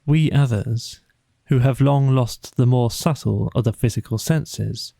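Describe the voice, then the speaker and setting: Casting: male bedtime audiobook narrator, very unhurried and soothing, dark mellow tone, close dry sound. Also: medium pitch, creaky, dry recording. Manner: measured, soothing, deliberate phrasing. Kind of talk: slow bedtime storybook narration.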